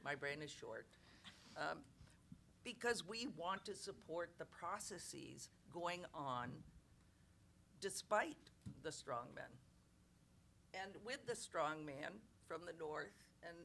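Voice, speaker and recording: Forceful, bright-toned woman; blunt and emphatic, with a quick self-mocking aside now and then; press conference. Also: sits low, animated, vocal fry, a little hiss or hum. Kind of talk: unscripted press conference remarks.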